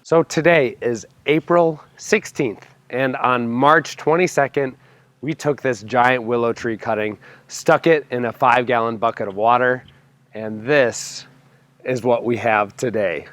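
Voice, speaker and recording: A young man hosting a homestead vlog, hoarse from a cold, explaining his backyard planting step by step with enthusiasm. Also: medium pitch, unhurried, fairly bright tone, dry recording. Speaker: homestead channel host. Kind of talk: casual gardening vlog. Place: backyard, outdoors.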